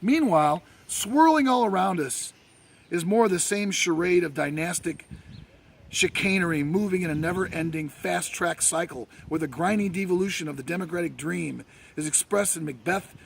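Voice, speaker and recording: A man with a high voice full of gravel delivers a scripted political speech as if pleading before a court. He is emphatic and declamatory, pausing for dramatic weight. A faint noise sits behind the recording. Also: lively intonation. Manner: declamatory, emphatic, with dramatic pauses and rhetorical questions. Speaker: writer reading own essay. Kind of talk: theatrical courtroom-style oratory, scripted political monologue.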